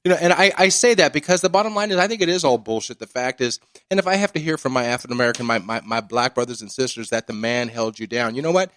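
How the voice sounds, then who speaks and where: A man's voice: mid-range voice, fast talker, hardly any pauses, a bit bright, dry recording. A man hosting a radio talk show, casual and brash, ranting and effusive, from a studio. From East Los Angeles.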